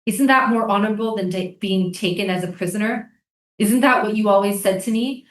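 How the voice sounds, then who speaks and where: A woman's voice: medium pitch, a little fast, close to an everyday voice. Lady acting insistent american woman soldier in a play reading, pleading and confrontational, roomy echo. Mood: earnest confession. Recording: roomy, echoey live stage reading.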